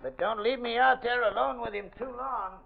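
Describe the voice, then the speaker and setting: A man's voice: very high voice, moderate pace, creaky, heavily acted. A man playing a crazed night watchman in an old radio mystery, insistent and coaxing. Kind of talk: 1940s radio mystery acting. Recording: dull old radio broadcast recording.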